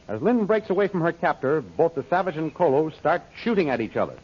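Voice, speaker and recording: A man's voice: on the high side, sing-song, fairly quick. A man narrating an old-time radio adventure serial in a dramatic, scripted style. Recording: dull, faintly noisy old radio recording.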